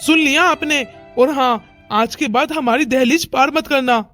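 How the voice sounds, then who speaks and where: A woman's voice: sits high, unhurried, a bit bright. A woman voicing a loyal, assertive young husband in a melodramatic Hindi cartoon story. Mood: indignant, firm. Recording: music under the voice.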